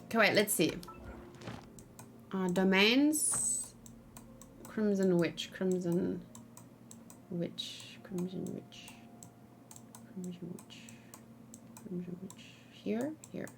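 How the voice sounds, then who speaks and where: A woman with a mid-range voice gives casual live commentary on a game. She thinks aloud and chats to her viewers in an informal way, with animated exclamations mixed into the talk. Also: mid-range voice, extremely slow, vocal fry, pauses often, a little hiss or hum. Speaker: gaming streamer.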